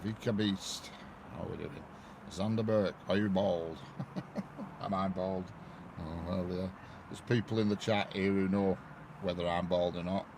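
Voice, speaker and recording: Low gravelly Lancashire man streaming trackside. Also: steady tone, measured pace, pauses often. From northwest England. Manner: chatty, greets viewers one by one, laughs often. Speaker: railway livestreamer. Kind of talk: casual live-stream chat. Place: outdoors by a railway line. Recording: noisy outdoor live stream.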